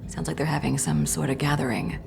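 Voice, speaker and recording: An actress playing a wary, sardonic female thief who grumbles in a hushed, gruff way in a fantasy audio drama. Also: low-pitched, little pitch movement, fairly quick, over music. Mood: irritated, hushed.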